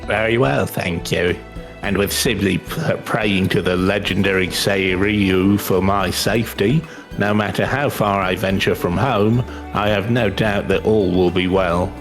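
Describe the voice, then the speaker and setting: A man's voice: low voice, fairly even intonation, unhurried. A man voicing a grateful, earnest father in a fantasy game, speaking politely and sincerely. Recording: game music behind the voice.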